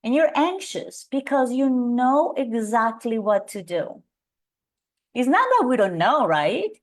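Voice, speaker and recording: A middle-aged woman from Colombia with a Colombian Spanish accent, presenting an energetic live webinar and calling out audience members by name. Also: on the high side, unhurried. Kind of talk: live webinar coaching talk.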